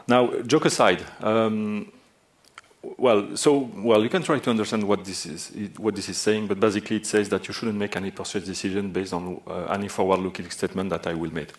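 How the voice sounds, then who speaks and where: A man's voice: on the low side, steady tone, moderate pace. A Belgian man with a French accent giving a technical talk on a conference stage, hesitant, with many filler sounds. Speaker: conference speaker. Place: large conference hall stage.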